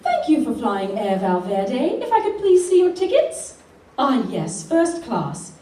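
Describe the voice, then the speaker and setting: High, singsong airline attendant played by a woman. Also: wildly swooping pitch, measured pace, warm tone. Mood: polite, professional. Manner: courteous, formal. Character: polite, singsong female airline attendant and announcer. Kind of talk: live stage radio play. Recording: echoey, distant live room, background noise.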